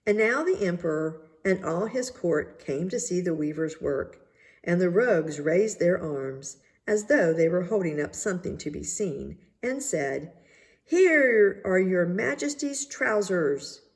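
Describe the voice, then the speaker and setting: A woman reading a children's tale aloud as narrator, in a measured, deliberate way. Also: lowish voice, animated, a little mellow. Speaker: story reader. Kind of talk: scripted storybook narration.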